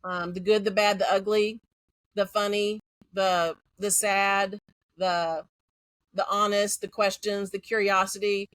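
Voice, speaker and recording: A woman's voice: mid-range voice, level tone, a little slow, a bit bright, no echo. A woman from Central Texas with a Texan accent, a guest talking frankly and confidently in a casual interview. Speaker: guest, educator.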